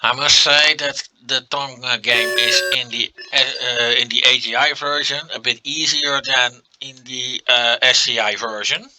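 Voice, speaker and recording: A man with a Dutch accent, a native Dutch speaker, giving relaxed gameplay commentary and thinking aloud with many fillers. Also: mid-range voice, unhurried, few pauses, slight background noise, low-quality recording. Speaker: let's play commentator.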